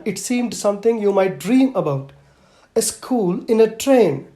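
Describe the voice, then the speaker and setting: A man's voice: sits high, dark tone. A man reading a children's book aloud as scripted audiobook narration. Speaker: audiobook reader.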